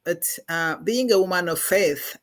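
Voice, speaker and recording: A middle-aged woman from Rwanda with a Rwandan accent, telling her story as a podcast guest in an earnest, measured way with many pauses and fillers. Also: lowish voice. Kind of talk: personal testimony in a podcast interview.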